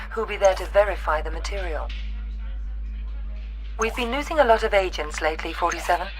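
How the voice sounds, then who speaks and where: Composed, low-voiced female handler played by an actress. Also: a bit bright, close to an everyday voice, low-quality recording. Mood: businesslike. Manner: measured, businesslike. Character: composed adult female handler briefing an assassin. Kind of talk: scripted game mission briefing.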